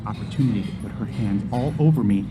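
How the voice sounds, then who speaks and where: A man's voice: fairly low pitch, fast talker, loud music behind the voice, close to an everyday voice. A man playing a suspicious, sarcastic insomniac musician in a naturalistic audio drama, blustering and then hesitant.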